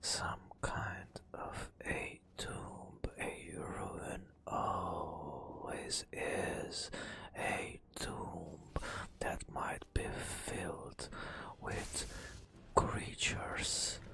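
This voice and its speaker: Guy whispering a fantasy game story asmr style, super slow, bit crackly, breathy. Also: low-pitched, fairly even intonation. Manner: slow, hushed, dramatic storyteller phrasing with pauses. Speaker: ASMR gaming narrator.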